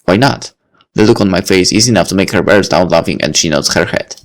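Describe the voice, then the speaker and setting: Low-voiced man reading a visual novel. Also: brisk. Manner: wry, chatty asides. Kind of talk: reading aloud with off-the-cuff comments.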